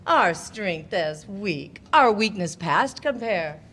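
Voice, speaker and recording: A woman's voice: mid-range voice, lively intonation, fairly bright tone, husky, heavily acted. A woman playing Kate, a tamed shrew. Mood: solemn. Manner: measured, declamatory delivery. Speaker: actress in a live audio play. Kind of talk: Shakespearean stage acting.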